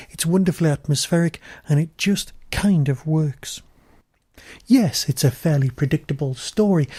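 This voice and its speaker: A man's voice: fairly high pitch, dark tone, no room sound, clean studio sound. A man hosting a podcast, giving a review in a conversational style. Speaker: podcast host.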